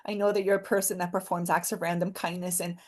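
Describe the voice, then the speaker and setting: A woman's voice: on the low side, level tone, fast talker. A woman speaking as a podcast guest in an earnest, encouraging, heartfelt conversational way, repeating phrases for emphasis.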